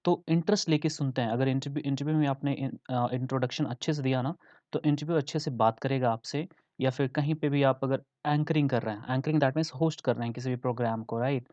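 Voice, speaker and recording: A young man with an Indian accent gives an English lesson, mixing in Hindi. He sounds chatty and mid-pitched, often checking in with the listeners. The recording is dry and slightly muffled. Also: steady tone, fairly quick, darkish tone, dry recording. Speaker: English teacher.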